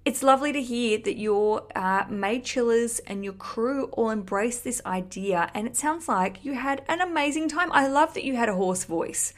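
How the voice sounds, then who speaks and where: A woman's voice: fairly high pitch, normal speed, hardly any pauses. A woman hosting a podcast, chatting casually and effusively to listeners in a cheeky, sweary, rambling way. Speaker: podcast host.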